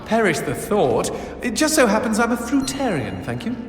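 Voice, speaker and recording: High, animated British demon sidekick. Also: male voice, moderate pace. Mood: wry, chatty. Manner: theatrical, mock-posh, wry. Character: chatty, witty, genteel male demon sidekick. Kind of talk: video game cutscene acting. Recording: reverberant, loud game music behind.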